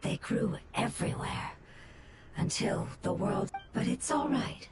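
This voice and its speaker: A gentle, solemn female game character acted by a woman with a fairly high, soft and breathy voice. She speaks in a measured, wistful way. Loud music swells behind her. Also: lightly acted. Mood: wistful, sorrowful.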